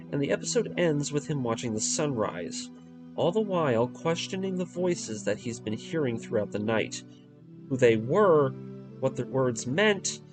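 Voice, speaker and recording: A man's voice: mid-range voice, low-quality recording. A man giving enthusiastic video essay commentary and recaps, with an emphatic, casual fan delivery. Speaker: video creator reviewing an anime radio drama. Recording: voice-over with prominent music underneath. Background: music.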